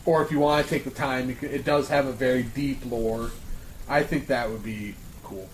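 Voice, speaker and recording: A man's voice: medium pitch, steady tone, moderate pace, a little hiss or hum, rough recording. A man in a casual round table discussion, hesitant and searching for his words. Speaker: podcast panelist.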